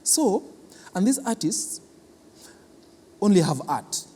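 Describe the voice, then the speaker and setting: A man with a Kenyan accent explains things as an interviewed official, speaking haltingly and repeating his words. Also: sits high, sing-song, dark tone. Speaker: cultural institution official being interviewed. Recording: reverberant hall with clear background noise.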